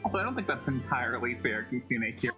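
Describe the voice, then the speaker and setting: A man's voice: medium pitch, big pitch swings, fast talker, over music. A man co-hosting a podcast, chatting casually and conversationally about a video game. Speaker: podcast co-host. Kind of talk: casual game commentary chat.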